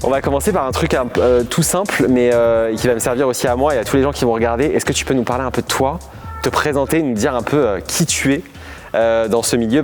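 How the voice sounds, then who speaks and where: A man in a mid-range voice who hosts the interview, circling around his questions with lots of pauses and fillers. Soft music plays faintly underneath. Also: medium pitch. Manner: hesitant, many fillers, long winding questions. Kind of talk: casual interview.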